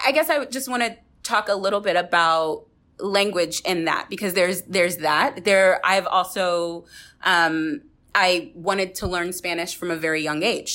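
A woman with a slightly low voice hosts a conversational podcast. She talks reflectively, often correcting and rewording herself as she works through a point. She is from Texas. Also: fairly bright tone. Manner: reflective, rephrases and self-corrects as she goes. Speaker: podcast host.

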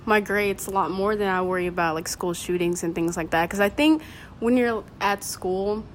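A woman's voice: mid-range voice, normal speed. A teenage girl talking casually as a guest in a group discussion. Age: teens. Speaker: student cast member, podcast guest. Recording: clear background noise.

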